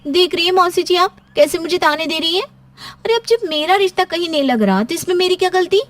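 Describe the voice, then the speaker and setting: Plaintive, high-pitched young daughter, acted by a woman. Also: expressive, fairly quick, fairly bright tone. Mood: aggrieved. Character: aggrieved young unmarried daughter, pouty. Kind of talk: scripted story dialogue.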